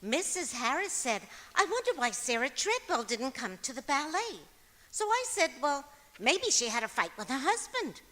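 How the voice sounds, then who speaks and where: A bright, very high voice swoops through every line in a reverberant hall. A woman acts out a cheerful, scatterbrained wife from old-time radio comedy, chattering away innocently. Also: big pitch swings, moderate pace, exaggerated voice. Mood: chatty, oblivious. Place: performing arts center auditorium, live stage. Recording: stage microphone in echoing auditorium.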